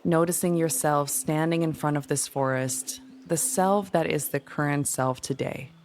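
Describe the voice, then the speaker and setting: A woman leading a guided meditation in a soothing, gentle, unhurried manner. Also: low-pitched, steady tone. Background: music.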